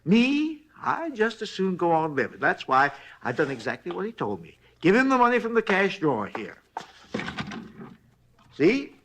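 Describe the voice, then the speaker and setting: An actor playing a folksy, talkative hotel night clerk in a 1950s radio drama, rambling along in plain, ungrammatical speech. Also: male voice, high-pitched, wildly swooping pitch, moderate pace, fairly bright tone, slight background noise, exaggerated voice. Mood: chatty. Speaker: robbery witness.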